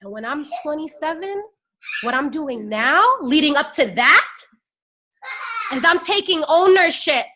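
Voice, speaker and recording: High-pitched young woman in her 20s hyping up her team on a phone, preachy, fiery. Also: expressive, measured pace, background noise. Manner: fiery, preachy, rallies listeners with call-and-response. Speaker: network marketing team leader. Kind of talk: motivational pep talk.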